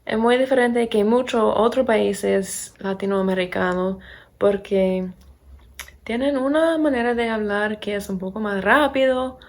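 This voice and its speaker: Slow, halting American woman speaking Spanish. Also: mid-range voice. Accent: American English accent in Spanish. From Pennsylvania, USA. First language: English. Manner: halting, searching for words, with little laughs. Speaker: vlogger and English teacher. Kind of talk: casual chat to camera.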